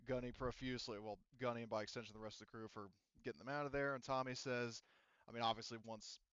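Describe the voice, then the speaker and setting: Clear-voiced man running a game, muffled mic. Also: mid-range voice, fairly even intonation, a bit bright, no echo. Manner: halting, rambling, self-interrupting. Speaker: game master. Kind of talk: casual narrating and ruling in a tabletop game.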